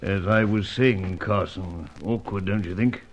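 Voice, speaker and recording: An actor playing an elderly, genteel British knight in a radio adventure serial, droll, fussy and given to hesitant musing. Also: male voice, measured pace, close to an everyday voice. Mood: dry, uneasy. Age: older. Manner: droll, ruminative, hesitant, old-fashioned. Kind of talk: old-time radio adventure serial acting. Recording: dull, noisy, rumbling lo-fi audio.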